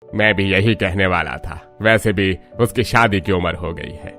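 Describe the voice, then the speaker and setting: A man's voice: sits low, measured pace, fairly bright tone, faint music behind. A man voicing a mild, approving father-in-law in an Indian family cartoon. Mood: approving, easygoing. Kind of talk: Hindi cartoon family-story dubbing.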